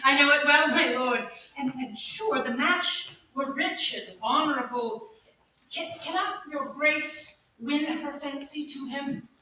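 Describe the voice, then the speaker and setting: In a roomy, echoing hall, some way from the mic, comes a woman playing a courteous young gentleman. The voice is very high and bright, with an earnest, eager, slightly stumbling stage delivery of Shakespeare. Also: slow, exaggerated voice. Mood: respectful. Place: staged reading in a large echoey room. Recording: echoey hall from far off, faint noise.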